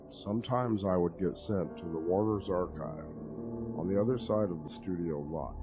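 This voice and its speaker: Lively low-voiced male horror narrator. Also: dull audio. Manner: expressive, conversational storytelling. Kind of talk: dramatic horror story reading.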